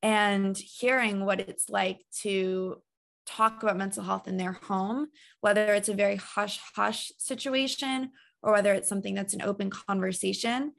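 Female interviewer, mid-range voice with noticeable vocal fry, measured and encouraging. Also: mid-range voice, fairly even intonation. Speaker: interviewer, mental health trainer. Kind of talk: conversational interview hosting.

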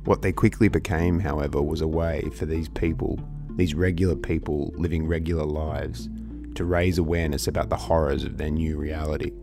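A man with a very deep, gravelly voice narrating a podcast script. His delivery is measured and earnest, and a clear music bed plays under him. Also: steady tone. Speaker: podcast host.

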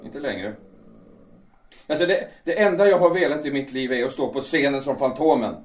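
A man in an audio drama playing a bitter understudy singer who calmly lays out his revenge, sometimes rising into fervent outbursts. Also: medium pitch, natural voice. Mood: bitter fervor. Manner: cold and deliberate, swelling into fervent outbursts. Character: bitter vengeful male understudy, calm and menacing. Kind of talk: amateur audio drama acting. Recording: roomy, distant mic.

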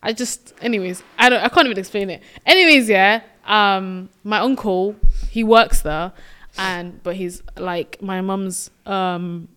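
A young woman with a British accent tells stories in easy podcast chat. She talks in an animated way and breaks into laughter often. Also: medium pitch, unhurried, clean tone. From Sweden. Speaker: podcast co-host. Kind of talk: casual chat.

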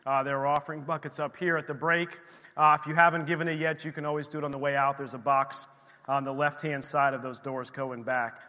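A man preaching in church, slightly losing his voice, reading scripture slowly and with emphasis. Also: on the high side, little pitch movement, pushed and bright. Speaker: pastor. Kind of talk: sermon. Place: church sanctuary. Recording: long hall reverb of a church.

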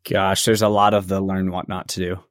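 Rambling laid-back podcast host, low voice. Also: male voice, little pitch movement, fairly quick, no room sound, clean studio sound. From San Antonio area. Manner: rambling, many fillers and restarts. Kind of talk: casual podcast chat.

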